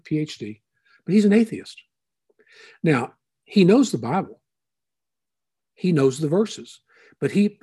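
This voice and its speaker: Husky, mellow-voiced male pastor. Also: medium pitch. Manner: unhurried, thinking aloud with frequent asides. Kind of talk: conversational sermon.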